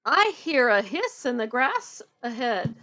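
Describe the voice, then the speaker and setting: A woman's voice: fairly high pitch, sing-song, takes it slowly, pushed and bright, slight background noise, exaggerated voice. A woman voicing a big, gullible storybook monster who draws out words ponderously. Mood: amazed.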